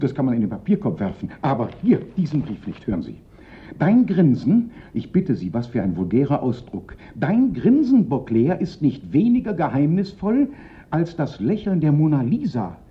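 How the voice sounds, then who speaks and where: An actor in a German radio drama playing a pompous, self-pitying police prefect, theatrical, indignant and lamenting. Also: male voice, on the high side, wildly swooping pitch, moderate pace. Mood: offended, plaintive. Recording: dull, lo-fi old radio recording.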